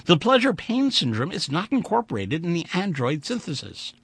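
A man voicing a calm male android that speaks in a level, formal way. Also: high-pitched, a little fast, vocal fry, breathy edge, no room sound, studio quality, pitched above the performer's own voice, heavily acted.

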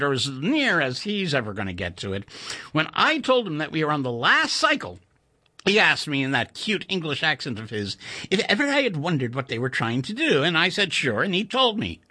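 A man doing a jittery high-pitched engineer. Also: pushed and bright, full character voice. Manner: high-strung, stammering, urgent. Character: nervous excitable American male computer engineer.

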